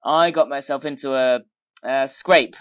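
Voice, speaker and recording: A woman's voice: extremely low pitch, a little slow. A woman playing a flippant, cheeky young man home from university. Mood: breezy. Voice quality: flippant, casual.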